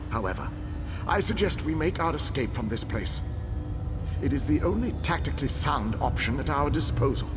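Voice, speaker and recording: An actor playing an ancient elven king who speaks in a formal, deliberate and strategic way. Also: fairly high pitch. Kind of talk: video game dialogue.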